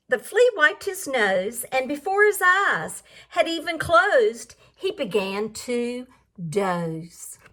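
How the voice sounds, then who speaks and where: High-pitched, animated woman reading to children. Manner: sing-song, explains words to young listeners. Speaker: teacher reading a picture book aloud. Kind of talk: expressive children's read-aloud with questions to listeners.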